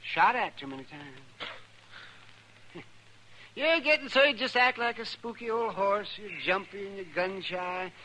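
An American actor playing a crotchety, money-minded frontier town doctor in a wry 1950s radio western style. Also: male voice, sits high, expressive, measured pace, long pauses, sharp tone, exaggerated voice. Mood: grumbling, teasing. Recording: 1950s radio recording, faint hiss.

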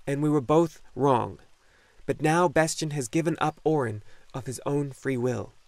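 A man's voice: a little high, measured pace, no room sound, voice pushed up. A man voicing an earnest, loyal young boy hero in a storybook, with emphatic delivery. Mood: urgent, insistent.

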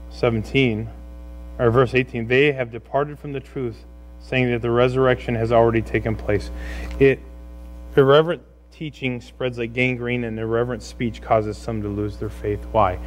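A man's voice: medium pitch, poor audio. Middle-aged male pastor preaching, humming background. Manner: conversational and exhorting, with rhetorical questions. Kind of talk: sermon to a congregation. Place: church room, speaking from a stage. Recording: steady mains hum and noise.

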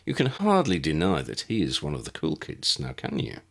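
A man's voice: a bass voice, huge pitch swings, normal speed, gravelly, pitched below the performer's own voice, full character voice. A man voicing a very old, courtly wizard uncle in an audiobook, formal, pompous and wry. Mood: droll, pompous. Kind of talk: audiobook character voice.

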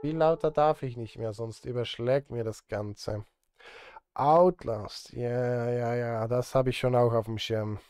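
A man's voice: medium pitch, fairly even intonation, measured pace, a little mellow, smooth. A man in his thirties chatting casually on a live stream while he works, rambling and muttering to himself in a self-deprecating way. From Switzerland. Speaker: live streamer and film composer. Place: indoor desk at a streaming setup.

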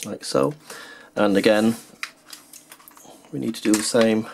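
Low-voiced man giving step-by-step instructions. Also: steady tone, a little slow, creaky, a little hiss or hum. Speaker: repair tutorial host. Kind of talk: hands-on how-to narration.

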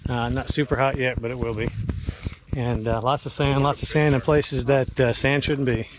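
A man in his fifties vlogging in a relaxed, chatty way with lots of fillers, filmed outdoors at arm's length. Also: mid-range voice, moderate pace, background noise. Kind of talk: casual outdoor vlog narration. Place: outdoors on a beach campsite.

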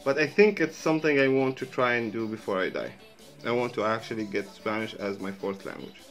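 A man's voice: medium pitch, fairly quick, a bit bright, faint music behind. Easygoing Arabic-accented man rambling. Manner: rambling, loose, swears casually. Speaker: reaction vlogger. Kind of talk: casual reaction commentary. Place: small indoor room with a sheet hung behind. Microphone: wired earphone mic.

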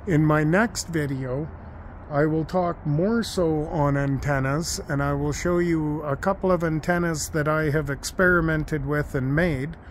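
A man giving an unscripted, matter-of-fact and halting demonstration as a hobbyist host, recorded outdoors on a handheld device. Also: a little high, slight background noise. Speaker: amateur radio hobbyist and video host. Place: outdoors in a backyard and deck.

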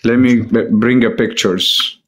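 A middle-aged man talks at a slow, deliberate pace on a live-stream debate. His English halts as he searches for words. He is recorded close on a phone in a room at home. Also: medium pitch, fairly even intonation. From Syria.

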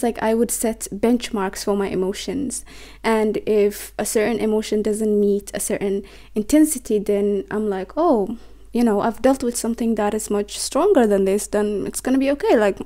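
A woman in her twenties whose first language is Somali, speaking to a desk camera in a small bedroom. Her voice sits a little high with a soft, mellow tone. She rambles, stops herself and trips over her words as she thinks aloud. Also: normal speed. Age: 20s. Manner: rambling, self-interrupting, stumbles on words. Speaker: vlogger. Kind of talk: reflective talk to camera. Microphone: camera on a desk, facing her.

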